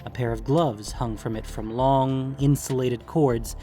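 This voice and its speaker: A man narrating scripted fiction in a measured, deliberate storytelling manner. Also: mid-range voice, steady tone, dark tone, soft background music.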